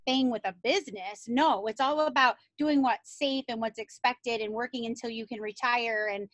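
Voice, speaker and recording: A woman with a high voice chats casually on a video call, giggling and peppering her talk with "like". Her sound is close and dry, with no room in it. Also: normal speed, fairly bright tone, dry recording. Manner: chatty, lots of "like", giggly. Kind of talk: casual chat.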